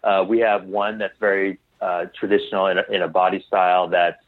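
Male podcast guest, lowish voice, casual and halting, restarts often, heard through a narrow phone-like connection. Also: flat delivery, dry recording. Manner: halting, tentative, trails off and restarts. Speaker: interview guest, clothing designer. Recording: tinny phone-like line.

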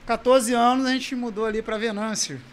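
A Brazilian man in his forties, a pastor, talking casually in an interview about his family and childhood, halting with restarts. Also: very high-pitched, fairly even intonation, slight background noise. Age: 40s. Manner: halting, with frequent restarts and soft laughs.

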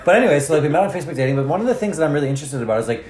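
A man's voice: fairly high pitch, expressive, rapid-fire, darkish tone, echoey room. A man interviewing a guest in a chatty, curious way, prompting him with questions. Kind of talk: casual interview conversation.

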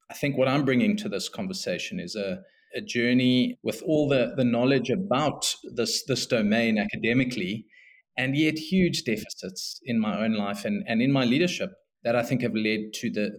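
A man with a South African accent, a psychologist guesting on a podcast, speaking appreciatively with some halting restarts. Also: mid-range voice. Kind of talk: casual conversation.

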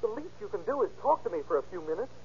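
An American actor playing a bitter, desperate jilted lover in a 1930s radio drama, pleading and intense. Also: male voice, sits high, very animated, racing, a little mellow, dull audio. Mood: pleading, wounded. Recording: scratchy, noisy 1930s radio recording.

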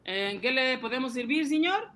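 A man's voice: extremely high pitch, expressive, normal speed, sharp tone, heavily acted. An actor in a comic radio drama playing an eager, blustering immigrant man of forty who stammers when flustered. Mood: eager, polite. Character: excitable Latin American immigrant man, forty, eager upstart banker.

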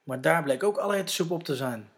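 A man's voice: fairly high pitch, expressive, fast. A man with a Netherlands Dutch accent, narrating a scripted story for children.

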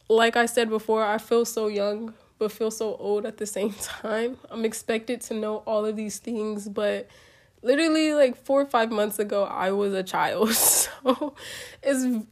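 A nineteen-year-old woman hosting her own podcast, her voice a bit hoarse from a cold, chatting loosely with lots of ums and you-knows and small laughs. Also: mid-range voice, darkish tone. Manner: rambling, many ums and you-knows, giggly. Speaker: podcast host. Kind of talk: casual solo podcast talk.

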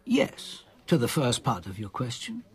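Composed, husky police commander. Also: male voice, medium pitch, huge pitch swings, unhurried, heavily acted. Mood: firm, reflective. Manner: polite, careful, probing. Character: composed, courteous, probing male police commander. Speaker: actor in a radio drama. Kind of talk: radio drama dialogue.

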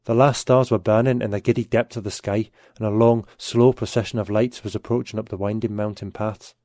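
A man narrates scripted fiction. His voice is mellow and relaxed, recorded close and dry with no room around it. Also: lowish voice, fairly quick, dry recording. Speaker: story narrator.

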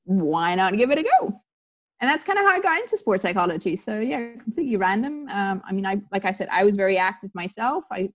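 A woman's voice: on the low side, dull audio. A woman who grew up in Tanzania, a sports psychologist guest talking casually in an interview, rambling with many fillers and restarts.

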